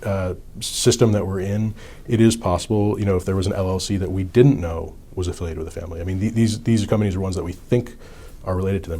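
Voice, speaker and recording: Male researcher, deep mellow voice, conversational interview explanation. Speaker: campaign finance researcher interviewed.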